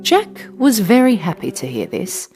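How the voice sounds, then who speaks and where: A woman tells a scripted children's story in a highly animated voice that rises and falls widely. She narrates as a storyteller for language learners. Music plays clearly behind her. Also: a little slow.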